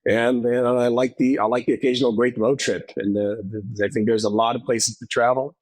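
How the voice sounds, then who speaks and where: A man's voice: medium pitch, little pitch movement, brisk, a little mellow, no echo, poor audio. A man from Israel, a dermatologist guest, answering in a hesitant way with many fillers and restarts. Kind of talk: interview answers.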